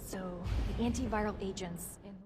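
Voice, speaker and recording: A woman's voice: mid-range voice, fairly quick, close to an everyday voice. An actress playing a plucky, protective young woman in a video game, casual and reassuring. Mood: focused, hushed. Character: plucky, protective young woman survivor, warm toward child. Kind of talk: video game cutscene acting. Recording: music behind the voice.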